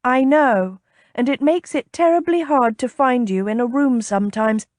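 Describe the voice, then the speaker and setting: A woman's voice: high voice, normal speed, darkish tone, no echo, clean studio sound, voice pushed up, lightly acted. A woman voicing a chatty, impulsive young noblewoman in an audiobook, with a bubbly, coaxing, inquisitive manner. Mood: bright, enticing. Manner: bubbly, coaxing, earnest, prying.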